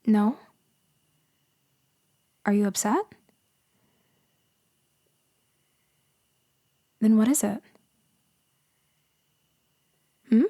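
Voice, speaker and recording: A woman playing a gentle, melancholy immortal female vampire in a sleep ASMR roleplay, speaking softly and intimately, often slipping into a whisper. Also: medium pitch, no room sound, clean studio sound. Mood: soft concern. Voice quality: soft, breathy, often whispering. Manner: slow, hushed, wistful, intimate.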